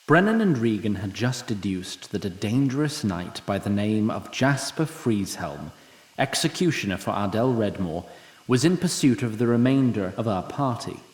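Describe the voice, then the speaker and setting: A man's voice: on the low side, very animated, moderate pace, echoey room. A man playing a haughty immortal storyteller, narrating a fantasy audio drama in a droll, formal, arch manner. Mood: measured, wry. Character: wry, lofty immortal storyteller, haughty toward mortals.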